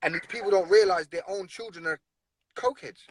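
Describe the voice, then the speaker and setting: Male guest, blunt, earnest, high bright voice, dry close sound. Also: brisk, no echo. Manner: earnest, blunt, repeats himself. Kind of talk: casual conversation.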